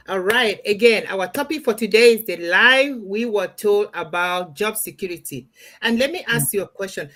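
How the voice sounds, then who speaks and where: Host, female, middle-aged, from Cameroon; chatty live-stream panel host, mid-pitched. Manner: chatty, warm asides to viewers. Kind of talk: hosting a live online panel.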